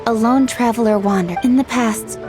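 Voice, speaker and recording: A woman voicing a gentle, cultured young lady in a video game, courteous and reflective, with measured phrasing. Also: on the high side, close to an everyday voice. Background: background music.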